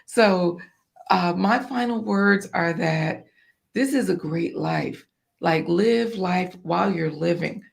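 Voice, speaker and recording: A woman guest with a hoarse, scratchy voice, talking in a casual, upbeat and encouraging way on a livestream. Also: fairly low pitch, slow pace. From Virginia.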